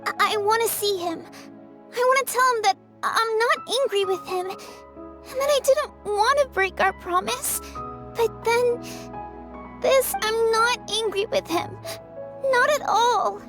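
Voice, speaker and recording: An actress playing a frail, wistful sick little girl in a video game, speaking in a halting, plaintive way. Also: very high voice. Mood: pleading, sorrowful. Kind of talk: English video game voice acting. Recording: music behind the voice. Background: game background music.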